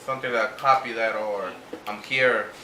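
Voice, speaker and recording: A man's voice: on the low side, fairly even intonation, normal speed, bright, forceful tone. A man giving a casual unboxing review to viewers, speaking hesitantly and slipping between two languages. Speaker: vlogger. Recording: echoey room with faint background noise.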